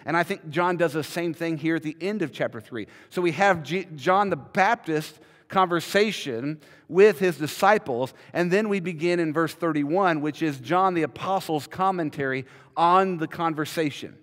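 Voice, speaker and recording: Pastor preaching in a reverberant hall. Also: male voice, on the high side, normal speed, a bit bright. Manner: emphatic, repeats words as he builds a point. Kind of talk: sermon. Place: large church sanctuary, indoors. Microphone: podium mic, filmed from a far camera.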